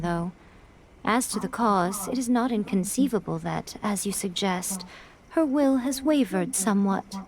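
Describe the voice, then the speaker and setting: A woman's voice: medium pitch, close to an everyday voice. A woman playing a sly, poised, teasing shrine priestess in a video game, with coy and eloquent phrasing. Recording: music playing behind.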